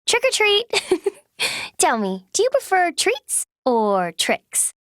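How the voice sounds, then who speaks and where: An eager young fantasy game heroine. The voice is very high and bright, with lively, swooping intonation, recorded dry and close. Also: female voice, slow pace, dry recording, full character voice. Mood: teasing, sweet. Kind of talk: scripted video game voice lines.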